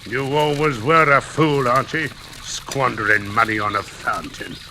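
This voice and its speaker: An actor playing a coarse, menacing blackmailer, surly and mocking, in 1940s radio drama style. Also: male voice, mid-range voice, unhurried, lightly acted. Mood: sneering mockery. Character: coarse, menacing male blackmailer, sneering old pal. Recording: noisy old-time radio recording.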